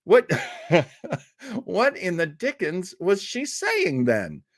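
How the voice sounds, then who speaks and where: A man's voice: high voice, huge pitch swings, extremely slow, dry recording, studio quality. A middle-aged man hosting a live show, chatting casually and playfully with his viewers in a jokey, easygoing way. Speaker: host of a live old-time radio listening show.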